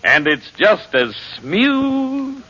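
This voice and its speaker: A man's voice: high voice, big pitch swings, very slow, bright, forceful tone. A man delivering a rhythmic advertising slogan in 1940s radio commercial style. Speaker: commercial jingle spokesman. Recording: muffled 1940s radio recording.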